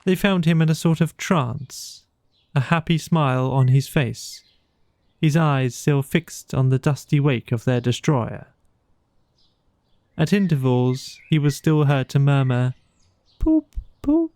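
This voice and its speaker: Slow, creaky-voiced male storyteller. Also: mid-range voice, no echo. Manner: measured, expressive storytelling. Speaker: audiobook narrator. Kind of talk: scripted bedtime storybook narration.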